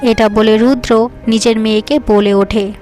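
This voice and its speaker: High-voiced woman narrating a fairy tale. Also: steady tone, measured pace, a little mellow. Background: music.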